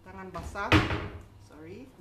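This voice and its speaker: A middle-aged woman talks as a home cooking host. Her voice sits at a medium pitch. She is encouraging and informal, explaining each step as casual chat and often adding small tags to check that listeners follow. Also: moderate pace, very noisy. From Philippines. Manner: encouraging, chatty, reassuring, with tag questions like okay. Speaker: home baking instructor and cooking show host. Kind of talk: casual cooking demonstration, code-switching chat.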